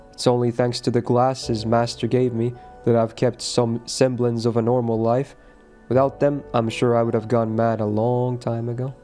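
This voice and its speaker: A man reading the lines of a quiet, withdrawn teenage schoolboy from a visual novel in a calm, matter-of-fact way. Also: medium pitch, lightly acted.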